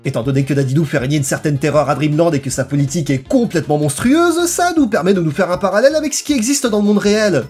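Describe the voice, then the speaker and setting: A narrator delivering a scripted video essay in an expressive, emphatic and colloquial way over background music. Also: medium pitch, quick pace, hardly any pauses, slightly rough.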